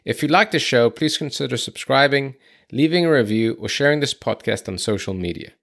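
Halting male podcast host. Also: medium pitch, lively intonation, vocal fry, fairly bright tone. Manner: halting, with many restarts. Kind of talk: interview podcast. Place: home studio in San Francisco.

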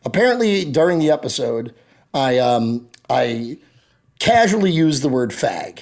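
Animated, lively-voiced man co-hosting. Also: mid-range voice, unhurried. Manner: joking, animated storytelling. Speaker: podcast co-host. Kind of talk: casual banter.

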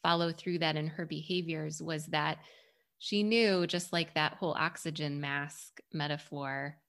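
Female podcast host, low register, reflective and articulate, conversational interviewing. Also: steady tone, unhurried, fairly bright tone.

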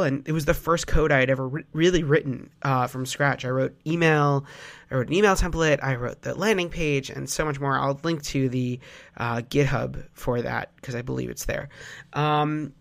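A man's voice: mid-range voice, creaky. A young man hosting a casual solo podcast, rambling with many fillers and restarts, sounding nasal from a cold. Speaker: podcast host.

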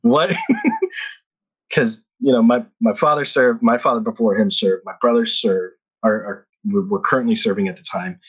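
A man's voice: on the low side, moderate pace, a bit bright, slightly rough, poor audio. Rambling man telling stories. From Philippines. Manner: rambling storyteller with frequent restarts and laughs. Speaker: podcast guest. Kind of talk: casual chat.

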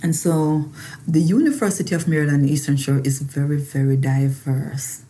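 A woman's voice: low-pitched, moderate pace, warm tone. A woman from Jamaica with a Jamaican accent, a farmer and researcher talking personally in an interview and repeating phrases for emphasis.

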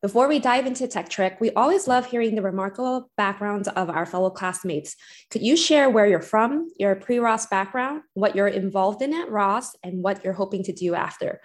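A young woman co-hosting a student podcast, reading announcements and asking questions in a bright, scripted way. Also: mid-range voice, moderate pace. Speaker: podcast co-host.

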